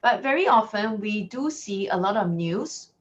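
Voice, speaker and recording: A woman from Singapore with a Singaporean English accent, giving an online lecture in a clear, measured teacherly way. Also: medium pitch, expressive. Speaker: guest lecturer. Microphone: video call. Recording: lo-fi video call audio.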